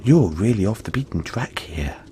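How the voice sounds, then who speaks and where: A man playing a huge, gentle, cuddly yeti boy in an intimate audio roleplay, talking politely and haltingly to reassure the listener. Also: on the low side, animated, a little hiss or hum, lightly acted. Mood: gentle, explanatory. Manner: soft-spoken reassurance, halting, polite and chatty.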